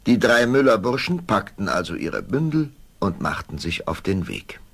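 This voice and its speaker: A man narrating a scripted fairy tale for an audio play in a gravelly voice. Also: fairly low pitch, very animated, normal speed, a bit bright.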